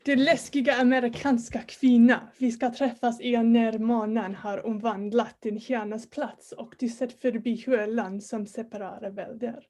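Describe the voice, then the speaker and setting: A woman's voice: on the high side, little pitch movement, moderate pace, hardly any pauses, darkish tone, lightly acted. A woman in an informal table read playing a huge, jovial, muscular male metal star who loves telling stories. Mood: storytelling.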